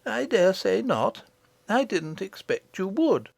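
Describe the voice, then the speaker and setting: A woman voicing a kindly, dignified old great-great-grandmother queen in a storybook audiobook, gentle, gracious and composed. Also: mid-range voice, very animated, slow, gravelly, dry recording, voice pushed up, exaggerated voice. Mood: kindly, patient.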